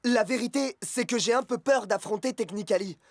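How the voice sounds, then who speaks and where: A man's voice: sits high, brisk. A man playing an eager, self-doubting young footballer in a French cartoon dub, earnest and exclaiming. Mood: earnest, worried.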